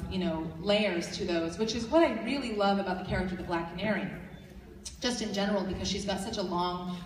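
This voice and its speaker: A woman with a low voice answering fan questions at a convention panel, rambling with lots of fillers and restarts. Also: slight background noise. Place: large convention hall. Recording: echoey hall, distant mic.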